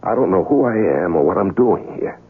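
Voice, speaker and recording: An earnest man searching for his lost identity in a vintage radio drama. His voice is very low with a creaky edge, swinging widely in pitch as he speaks in a measured, hesitant way. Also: animated, exaggerated voice. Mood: troubled, confiding. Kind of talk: 1970s radio drama acting, narration and dialogue. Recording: muffled vintage radio recording, faint music.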